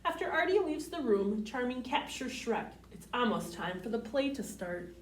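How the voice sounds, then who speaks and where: A woman's voice: on the high side, big pitch swings, moderate pace, a little hiss or hum. A woman reading a storybook aloud to young children as a library storyteller, with a sing-song manner. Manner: sing-song, addressing children with simple questions. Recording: roomy, distant microphone.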